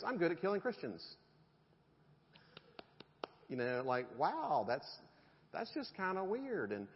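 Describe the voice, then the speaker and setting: A middle-aged man, preaching a conversational sermon with jokes to his congregation. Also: a little high. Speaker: pastor. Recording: dull sound in a reverberant hall.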